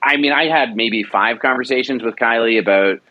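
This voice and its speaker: A man's voice: mid-range voice, moderate pace, dull audio. Earnest man talking about story craft. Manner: earnest, emphatic, repeats words while thinking. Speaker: audio drama producer. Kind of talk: passionate conversational interview.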